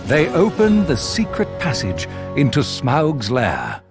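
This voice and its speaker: A man narrating a fantasy video game as a solemn, measured storyteller. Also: medium pitch, wildly swooping pitch, over music, full character voice. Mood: solemn storytelling.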